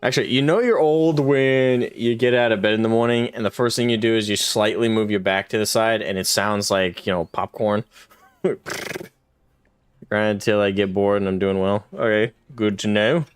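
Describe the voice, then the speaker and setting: A man's voice: fairly low pitch, steady tone, normal speed, clear, resonant tone, dry recording. A man in his twenties streaming a game live, chatting casually with his chat in a rambling, self-deprecating way and laughing often. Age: 20s.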